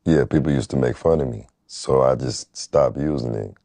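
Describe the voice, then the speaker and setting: A man with a very deep, gravelly voice, talking slowly and casually about his natural voice in an interview clip. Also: dark tone. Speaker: YouTuber in played clip.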